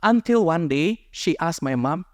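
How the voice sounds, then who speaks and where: A man's voice: fairly high pitch, lively intonation, a little slow, darkish tone, no echo, studio quality. Earnest man at a microphone. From Indonesia. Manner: earnest, conversational, rephrases as he goes. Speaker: friar sharing his story with a group. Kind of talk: personal sharing talk to a small group.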